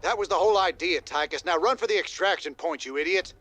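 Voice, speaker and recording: A man playing a stubborn, battle-hardened rebel commander in a video game, American, gruff and terse, giving blunt military orders. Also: sits high, quick pace, fairly bright tone. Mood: urgent, commanding. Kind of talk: video game cutscene acting.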